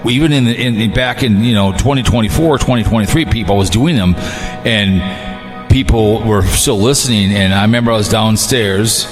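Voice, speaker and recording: This is a man with a fairly low voice, talking casually as an online show host. He rambles and doubles back on phrases, stammering through restarts. Faint music plays underneath. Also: moderate pace, long reverb tail. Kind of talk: casual group chat.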